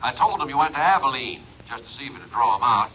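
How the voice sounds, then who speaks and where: An actor playing a plainspoken sheriff talking in a casual way, in a 1950s radio drama. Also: male voice, medium pitch, big pitch swings, quick pace, a little hiss or hum, lightly acted. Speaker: sheriff on the phone. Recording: dull old radio recording.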